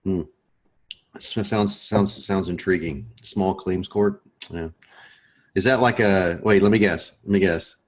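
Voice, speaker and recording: Man, very low voice, laid-back and joking, course host, video call. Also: fairly even intonation, measured pace. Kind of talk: casual chat before a lesson.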